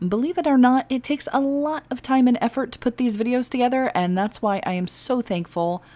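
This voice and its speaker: A woman's voice: fairly high pitch, a little fast, dark tone. A woman storyteller reading fiction expressively and chatting casually as host of her own channel. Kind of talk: expressive audiobook narration and casual outro.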